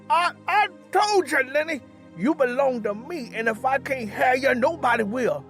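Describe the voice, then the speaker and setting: A possessive husband in a blazing rage, his Southern drawl pushed very high. He stammers and threatens in explosive bursts. It is a dramatized storybook reading over music. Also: a bit bright. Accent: American South. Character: enraged, possessive, threatening young husband Curly.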